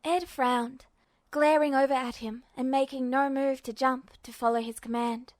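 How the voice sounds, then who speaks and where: A woman's voice: high-pitched, fairly even intonation, moderate pace, no echo. A woman reading scripted fiction as an audiobook-style narrator. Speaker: podfic narrator.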